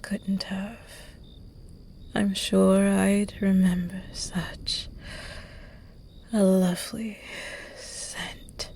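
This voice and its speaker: A woman voicing a seductive, predatory female vampire who teases her prey in slow, close, breathy whispers in an ASMR roleplay. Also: mid-range voice, dark tone, slight background noise.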